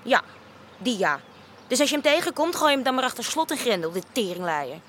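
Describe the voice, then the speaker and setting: A woman's voice: a little high, big pitch swings, brisk, a bit bright, slight background noise. An actress playing a brash, foul-mouthed working-class woman in a radio drama, defiant and scornful. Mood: bitter.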